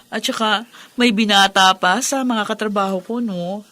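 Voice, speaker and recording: A woman's voice: medium pitch, fairly even intonation, moderate pace, pushed and bright, lightly acted. An actress playing a cheerful, teasing middle-aged widowed mother and older sister in a radio drama. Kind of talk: Tagalog radio drama acting.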